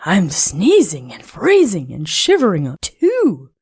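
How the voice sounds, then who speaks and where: A woman's voice: lowish voice, wildly swooping pitch, takes it slowly, darkish tone, breathy edge, no echo, heavily acted. Woman voicing a dramatic, shivery storybook snowman. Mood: shivering, complaining. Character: lovable shivering snowman, cheerful, dramatic, forever cold. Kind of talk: animated storybook read-aloud.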